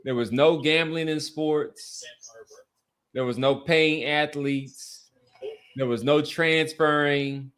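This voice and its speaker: A middle-aged American man talks sports as a podcast host. His voice sits a bit high for a man. He is opinionated and casual, leaning on repeated phrases for emphasis. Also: fairly even intonation, slow, fairly bright tone, clean tone.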